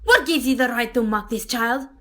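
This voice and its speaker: A woman acting an eccentric, imperious artist spirit in a fan audio drama, with a dramatic, drawn-out, declamatory delivery. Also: a little high, bright, forceful tone. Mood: furious, defiant.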